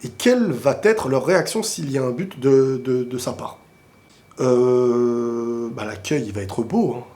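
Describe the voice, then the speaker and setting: A man with a cold and a sore throat, talking casually and excitably about football to his viewers, often rambling into quick asides. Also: medium pitch. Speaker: football video creator answering viewer questions. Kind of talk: casual opinionated football talk to camera.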